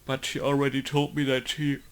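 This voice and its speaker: A young man, a game modder, chatting casually while he plays, rambling and often trailing off quietly. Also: mid-range voice, completely flat delivery, a little fast, clean tone, poor audio. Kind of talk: casual commentary over gameplay.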